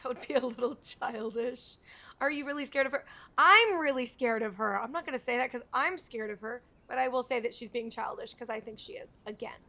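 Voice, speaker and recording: A woman's voice: fairly high pitch, fairly quick, bright, forceful tone. A woman livestreaming a video game, chatting casually to viewers and reacting as she plays. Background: game music.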